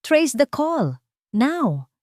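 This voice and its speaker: A woman's voice: high voice, very animated, very slow, darkish tone, no echo, studio quality, voice pushed up, exaggerated voice. A woman acting an urgent, commanding male CEO. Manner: urgent, barking orders. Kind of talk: dramatic audio story acting.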